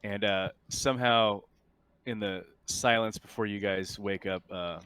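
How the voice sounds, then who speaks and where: A man running a tabletop game as game master, talking in a casual, halting, informal way. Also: lowish voice, fairly even intonation, a bit bright, clear, resonant tone, no room sound. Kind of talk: casual tabletop game narration over voice chat.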